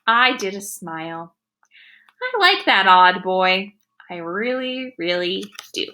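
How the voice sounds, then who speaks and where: A woman's voice: mid-range voice, sing-song, slow, pushed and bright, a little hiss or hum, heavily acted. A woman reading as a spirited, quirky young schoolgirl who tells her story with childlike, emphatic enthusiasm. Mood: excited.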